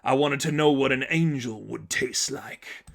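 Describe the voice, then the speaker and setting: A man's voice: mid-range voice, unhurried, vocal fry. Huge male reptile monster, done by a male reader, snarling, sneering villain for story narration. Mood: hungry menace.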